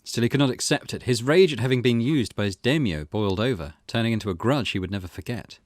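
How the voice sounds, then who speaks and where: A man hosting a gaming playthrough, conversational in his commentary and expressive when reading the story text aloud. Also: mid-range voice, fast talker, no room sound, clean studio sound. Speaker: gaming channel host.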